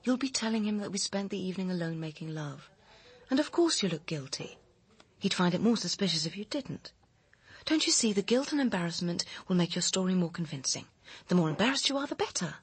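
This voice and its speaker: An actress playing a cool, manipulative woman who insistently coaches her lover in a radio drama, with a husky, controlled voice. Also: on the low side, expressive, fairly quick, no room sound. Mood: firm, insistent.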